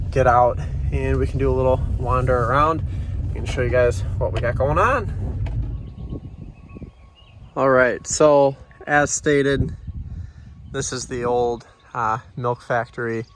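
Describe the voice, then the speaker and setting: A man's voice: medium pitch, fairly bright tone, a little hiss or hum. A man with a nasal, stuffed-up voice, casually walking through his lot and rambling with lots of fillers in a vlog. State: nasal from allergies. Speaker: vlogger. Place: outdoors on a commercial lot and driving in a truck.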